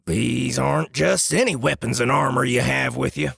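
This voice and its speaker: A man playing a boastful, hearty dwarf smith in a fantasy audiobook, with a booming, gravelly voice and a smug manner. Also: moderate pace, a bit bright, no room sound, clean studio sound, heavily acted. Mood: smug, proud. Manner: smug, bragging. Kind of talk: dramatized fantasy audiobook acting.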